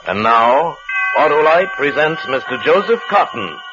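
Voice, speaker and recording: A man's voice: a little high, very animated, normal speed. A man announcing a radio drama in a dramatic 1950s introduction style. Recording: muffled old radio broadcast, loud music. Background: loud music.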